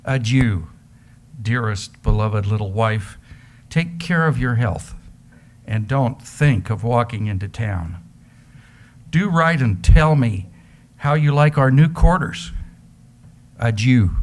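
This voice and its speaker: A man's voice: medium pitch, expressive, slow, long pauses. A man giving a theatrical reading of an affectionate letter. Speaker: reader in an amateur readers theater. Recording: background noise, live room ambience.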